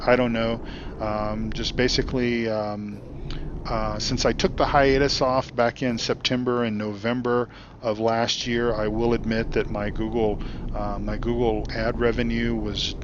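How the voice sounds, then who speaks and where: A man hosting his gaming channel, chatting casually while he plays, rambling with many ums and uhs, his voice getting hoarse. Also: medium pitch, highs cut off, background noise. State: losing his voice. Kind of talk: casual commentary while playing. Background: truck engine and road sounds.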